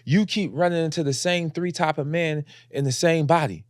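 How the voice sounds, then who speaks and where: Higher-pitched man, provocative and declarative. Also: normal speed, no room sound, studio quality. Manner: declarative, provocative, repeats points. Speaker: podcast host. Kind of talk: casual opinionated podcast commentary.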